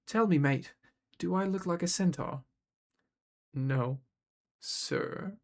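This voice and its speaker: Audiobook villain: a man acting a silky, cold, condescending ferryman of the underworld, creaky voice. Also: a little high, a little mellow, voice pushed up. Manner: smooth, condescending, drawn-out. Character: suave, menacing male underworld ferryman, coldly condescending.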